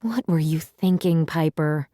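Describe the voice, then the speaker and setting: Storybook mother, performed by a female reader: exasperated, emphatic, low register, lively swings, dry close sound. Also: unhurried, no room sound. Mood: stern, exasperated. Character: exasperated, scolding mother of a young girl. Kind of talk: children's storybook read-aloud.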